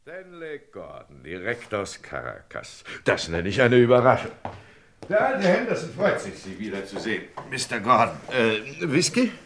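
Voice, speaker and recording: An actor playing a hearty older man in a German crime radio play, animated and talkative. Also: mid-range voice, moderate pace. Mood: jovial welcome. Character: hearty old American family friend, sociable and talkative.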